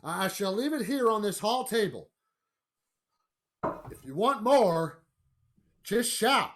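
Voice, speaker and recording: Blustering, animated family father played by a man. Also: sits high, slow pace, slight background noise, exaggerated voice. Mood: grumbling, determined. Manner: blustering, dismissive. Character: brusque, unafraid, down-to-earth father of a family. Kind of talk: radio play acting.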